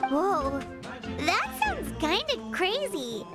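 A tiny fairy companion from an anime game with a very high, childlike voice. She is chatty, excitable and skeptical, swooping through her lines over loud game music. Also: takes it slowly, full character voice. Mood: concerned, questioning. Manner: speaks of herself in third person, expressive.

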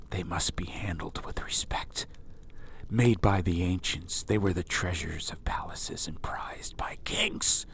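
A man's voice: deep voice, creaky, a bit bright, pitched below the performer's own voice, heavily acted. A man whispering as Padamabu, a grave old monk. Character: ancient hushed male monk, solemn.

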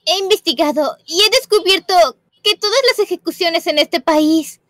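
A woman's voice: very high voice, normal speed, fairly bright tone, no room sound, clean studio sound. A woman voicing a spirited, stubborn young detective in an emphatic, dramatic game fan dub. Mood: anxious, insistent. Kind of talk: live fan dubbing of a visual novel game.

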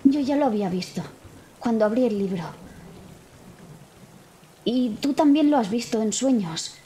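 Mellow, high-voiced Spanish woman role-player. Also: animated, unhurried, slight background noise. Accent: Spain. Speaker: tabletop role-playing player. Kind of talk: improvised in-character dialogue.

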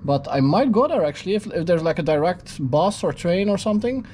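Male travel vlogger, thirties, slightly higher voice, casual thinking-aloud delivery, noisy handheld camera audio. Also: animated, a little fast, a little mellow. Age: 30s. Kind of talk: casual spoken-as-it-happens travel vlog narration. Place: city street, then hotel lobby, lift, corridor and room. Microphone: action camera held at arm's length.

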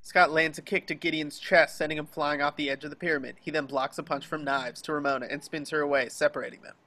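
Bright, forceful man reading stage directions. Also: a little high, steady tone, fast. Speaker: action description reader. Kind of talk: scripted stage-direction narration at a table read. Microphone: video call.

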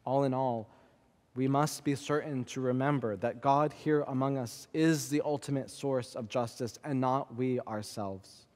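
A man in his thirties preaching a sermon from a church pulpit, with a measured, rhythmic delivery built on lists and repetition. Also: medium pitch, fairly even intonation, a little mellow. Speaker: priest. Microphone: pulpit microphone. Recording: long church reverb.

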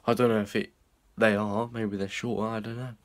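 Young lad rambling and swearing on a handheld phone vlog, kinda low voice. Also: male voice, little pitch movement, fairly quick. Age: young adult. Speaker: vlogger. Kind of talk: casual vlog chat. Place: small indoor rooms and a hallway. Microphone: phone held at arm's length.

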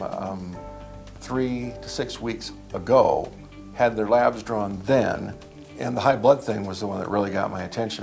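A man's voice: fairly low pitch, vocal fry. A man who is an emergency physician, recalling his early training in a documentary interview, rambling and anecdotal with many fillers.

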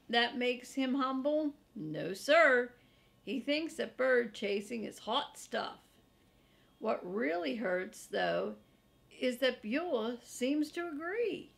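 A woman in her sixties reading a children's chapter book aloud in a folksy, deliberate way, as first-person narration. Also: on the high side, gravelly. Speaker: storybook reader for children. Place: small furnished room indoors, seated in an armchair.

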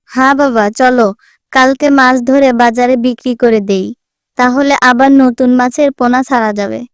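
High, clear young village daughter. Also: female voice, steady tone, a little slow, no echo, studio quality. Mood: eager. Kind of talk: Bengali cartoon voice acting.

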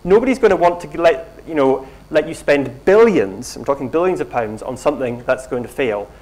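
A man's voice: medium pitch, moderate pace. Middle-aged guy lecturing in a big hall, lots of ums, easygoing. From Scotland. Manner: relaxed explaining with many ums and restarts. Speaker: keynote speaker. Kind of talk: conference lecture.

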